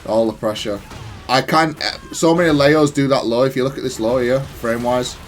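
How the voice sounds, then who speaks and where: A man's voice: medium pitch, normal speed. A man giving casual, analytical gameplay commentary in a matter-of-fact, self-critical way. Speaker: gaming content creator.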